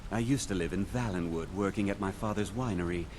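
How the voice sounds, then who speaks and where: An actor playing a suave, thrill-seeking male thief in a video game, talking in a smooth, confiding way. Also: deep voice, brisk, darkish tone, natural voice. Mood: confiding, wistful. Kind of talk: scripted game dialogue. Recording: clearly noisy background under game dialogue.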